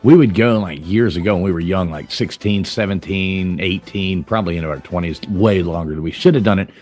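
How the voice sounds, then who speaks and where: A man's voice: low-pitched, a little fast, a little mellow, faint music behind. A man hosting a casual livestream chat from a pub, chatty and rambling, laughing often. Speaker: livestream host. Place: Irish pub.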